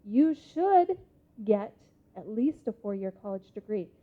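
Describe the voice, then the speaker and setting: A woman in her thirties with an American accent gives an interactive motivational talk in a conference hall, speaking emphatically with deliberate pauses. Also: medium pitch, big pitch swings. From Idaho, United States. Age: 30s. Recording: muffled lo-fi live hall recording.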